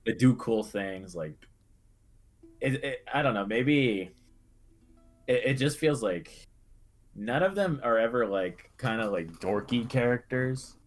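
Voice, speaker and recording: A man co-hosting a casual chat about video games, speaking in a halting, understated way. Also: medium pitch, expressive. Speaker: podcast co-host. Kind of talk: casual video game discussion. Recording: music playing behind the voice.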